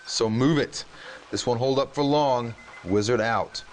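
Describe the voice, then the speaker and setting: An actor playing a brisk, cocky underground hacker in a video game cutscene, talking in slangy operator clips. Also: male voice, mid-range voice, lightly acted. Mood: brisk, urgent. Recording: noisy game cutscene audio.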